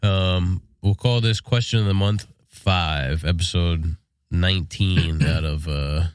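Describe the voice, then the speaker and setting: A man's voice: low voice, takes it slowly, clear, resonant tone, no echo, clean studio sound. An American man co-hosting a podcast in casual, laid-back shop talk, sounding congested from a sinus infection. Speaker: podcast co-host.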